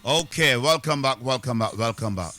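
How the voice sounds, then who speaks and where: A man from Barbados with a Barbadian accent, hosting a live radio interview in a lively, rambling, chatty way. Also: medium pitch, normal speed, dry recording, soft background music. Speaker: radio host.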